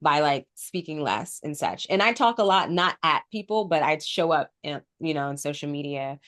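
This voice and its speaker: A woman's voice: low-pitched, expressive, normal speed, a bit bright. A woman co-hosting a casual spiritual podcast, congested from a cold with a sore throat, explaining at length with frequent ums. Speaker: podcast co-host.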